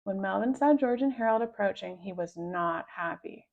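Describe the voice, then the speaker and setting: A woman reading a children's story aloud in an expressive storytelling style. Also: mid-range voice, moderate pace, creaky, muffled recording. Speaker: storybook reader.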